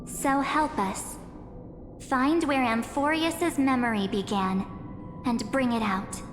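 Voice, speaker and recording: A woman's voice: high-pitched, slow. A woman voicing an otherworldly being posing as a teenage girl in a video game, with a coaxing, composed and teasing manner. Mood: calm, persuasive. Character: memory entity posing as a cheerful teenage girl, coolly persuasive. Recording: echoey long reverb under clear music.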